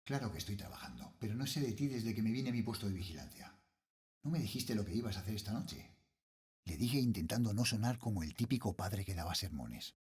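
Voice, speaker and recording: A man with an accent from Spain playing a worried middle-aged father, a night guard, narrating a horror audio drama in measured, brooding literary style. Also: fairly low pitch, big pitch swings, darkish tone, breathy edge, full character voice. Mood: worried, puzzled. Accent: Spanish, from Spain.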